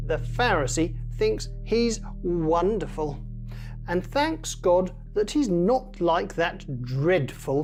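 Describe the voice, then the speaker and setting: A woman reading a children's story in a measured storytelling manner. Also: fairly low pitch, darkish tone. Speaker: story reader. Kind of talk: scripted children's story narration.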